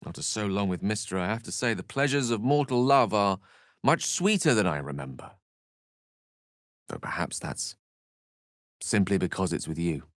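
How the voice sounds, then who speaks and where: Scholarly wizard, courtly, articulate, lively intonation, dry close recording, fantasy game dialogue. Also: male voice, lowish voice, normal speed, pauses often, no room sound. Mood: tender, earnest. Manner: theatrical, articulate, wordy and formal. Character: learned, courtly male human wizard, eloquent and earnest. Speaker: video game voice actor.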